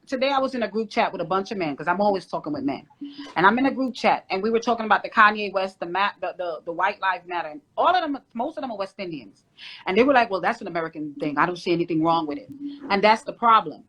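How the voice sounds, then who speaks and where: Fast-talking insistent woman. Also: mid-range voice, animated, a bit bright, low-quality recording. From Jamaica. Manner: insistent, talks over others. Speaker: panelist. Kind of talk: heated panel debate.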